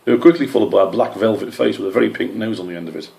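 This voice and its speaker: A man's voice: on the low side, racing, gravelly, darkish tone. A man reading a children's story aloud as scripted narration. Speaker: story reader.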